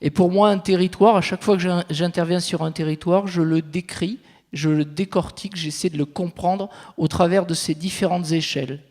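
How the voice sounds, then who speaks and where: A man's voice: high-pitched, fairly even intonation, moderate pace, a little mellow. A man giving an explanatory conference presentation, laying out his points step by step. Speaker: territorial consultant presenting at a conference. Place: large reverberant conference venue. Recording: echoey hall with long reverb.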